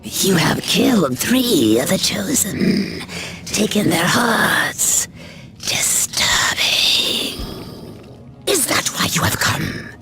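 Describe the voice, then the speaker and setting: Game villain spider queen: extremely slow, wildly swooping pitch, breathy and taunting, music underneath. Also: very animated, heavily acted. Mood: mocking, silky menace. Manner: languid, theatrical, menacing. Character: sinister female spider queen monster, taunting, regal. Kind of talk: video game cutscene acting.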